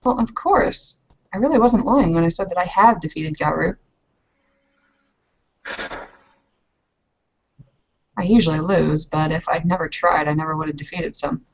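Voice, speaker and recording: Low-pitched woman voicing a proud young fighter, halting, defensive, earnest, tabletop roleplay, muffled lo-fi recording. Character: scrappy proud young kinfolk woman, guarded, stubborn.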